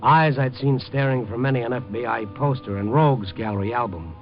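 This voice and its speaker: Male actor as hard-boiled newsman, medium pitch, wry, world-weary, clipped, vintage noir radio, dull sound with music. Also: a little fast, natural voice. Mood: brooding narration. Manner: wry, clipped tough-guy narration. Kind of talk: 1950s radio noir drama acting.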